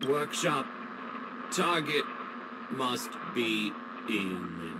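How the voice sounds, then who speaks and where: A man voicing a damaged, dutiful robot from a video game, speaking in halting, mechanical fragments. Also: medium pitch, big pitch swings. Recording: very noisy game audio, mechanical ambience.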